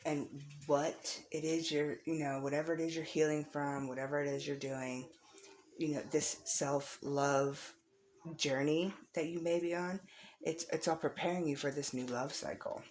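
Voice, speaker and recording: A woman's voice: low voice, fairly even intonation, normal speed, a little mellow, slight background noise. A woman reading tarot cards casually for the viewer, encouraging and reassuring, repeating phrases for emphasis. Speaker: tarot reader. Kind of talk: casual spiritual card reading, addressed to the viewer.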